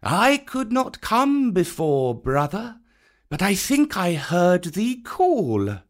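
A reader voicing a great old python who speaks slowly and with quiet command, reading a storybook. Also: medium pitch, wildly swooping pitch, pitched above the performer's own voice. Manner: slow, hissing, commanding.

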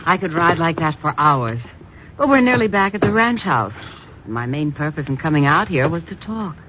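A woman's voice: low voice, lively intonation, normal speed. An actress playing a poised, affectionate but evasive society woman, with a polished, airy manner, in 1950s radio drama style. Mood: breezy, poised. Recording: muffled, hissy old radio recording.

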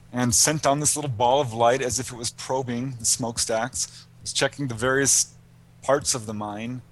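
A man speaks in a medium-pitched voice as a guest in an interview. He answers conversationally, explaining and telling accounts in an informal way. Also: a bit bright, a little hiss or hum, rough recording. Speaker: guest, UFO researcher.

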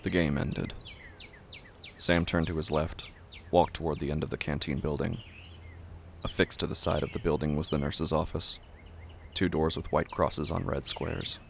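A man reading scripted literary horror narration in a brooding, deliberate way, often dropping to a hush. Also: very deep voice, flat delivery, creaky, a little hiss or hum.

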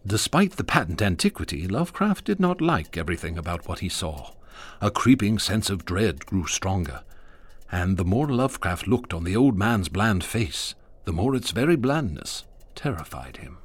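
A man narrating a radio drama in a measured, ominous storytelling manner. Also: deep voice, sing-song, slightly rough.

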